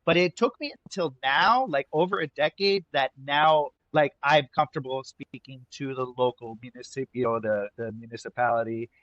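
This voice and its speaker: A man's voice: medium pitch, normal speed, hardly any pauses, fairly bright tone, no room sound. American restaurant guy chatting loose and rambly, lots of likes, kinda muffled dry audio. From United States. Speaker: restaurant owner, guest. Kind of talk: casual interview conversation.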